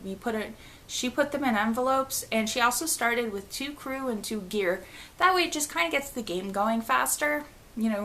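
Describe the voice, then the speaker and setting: A woman's voice: medium pitch, sharp tone, slight background noise. A woman in her thirties casually talking through a board game expansion at home, reading card text aloud and trailing off mid-phrase. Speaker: vlogger. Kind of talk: casual unboxing walkthrough. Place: living room at home, indoors.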